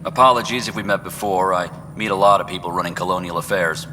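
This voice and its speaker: An actor playing a smug, slippery male assistant director in a video game, talking smoothly and brushing things off. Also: sits low, fast talker, pushed and bright, long reverb tail, a little hiss or hum. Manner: smooth, dismissive.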